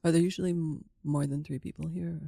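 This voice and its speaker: A woman improvising a comedy scene as a guest student, talking in a halting, understated conversational way. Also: low voice, steady tone, gravelly, warm tone, dry recording, clean studio sound.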